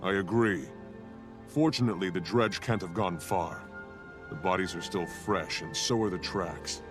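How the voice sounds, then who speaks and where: A big norn warrior, the hero of a fantasy game. His voice is fairly low, with an earnest, measured, matter-of-fact delivery. Clear game music sits behind the lines. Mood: grave. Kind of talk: fantasy video game voice acting.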